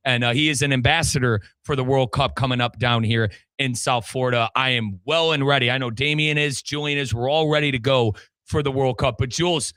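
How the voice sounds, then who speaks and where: A man hosting a sports talk radio show in an excitable, chummy, informal manner. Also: mid-range voice, a little fast, smooth, dry recording.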